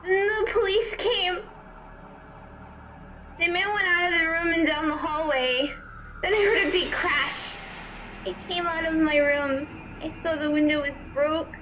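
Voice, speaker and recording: A woman playing a frightened young girl in an audio drama, telling her story in a halting, childlike, tearful way. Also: very high voice, fairly even intonation, pushed and bright, a little hiss or hum, heavily acted, low-quality recording. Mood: shaken, sad. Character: frightened young girl recounting a killer's attack.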